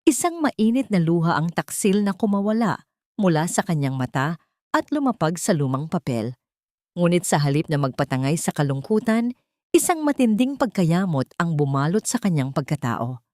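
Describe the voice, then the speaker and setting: Female Filipino narrator, lower register, measured dramatic storytelling, dry recording. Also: sing-song, darkish tone, dry recording.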